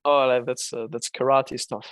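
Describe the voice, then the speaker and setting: A man's voice: mid-range voice, little pitch movement, normal speed, clean tone, no room sound, clean studio sound. A young man teaching a live online trading lesson, casual and encouraging with slangy remarks. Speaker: trading mentor.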